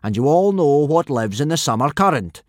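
A man doing a booming blustery Viking teacher. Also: sing-song, moderate pace, no echo, studio quality. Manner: booming, bellowing. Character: loud blustering male Viking pirate teacher.

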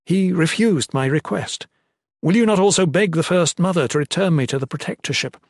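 A man's voice: medium pitch, a little fast, dry recording, studio quality, close to an everyday voice. A man acting a pleading young knightly protector. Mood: desperate begging. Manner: formal, archaic courtly wording. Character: pleading, distressed young male former protector, contrite and formal.

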